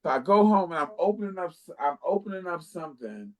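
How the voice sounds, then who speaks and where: A man's voice: sits high, big pitch swings, fast talker. An American man in his late thirties, a talk show guest telling personal stories in an emphatic, repetitive way and acting out what people said. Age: late 30s. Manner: emphatic, repeats phrases, acts out quoted speech. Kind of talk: animated personal storytelling.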